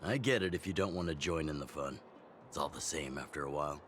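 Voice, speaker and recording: A man voicing a genial middle-aged town chief and mentor in a game, relaxed and teasing in a fatherly way. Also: very deep voice, fast talker, slight background noise. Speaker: community chief.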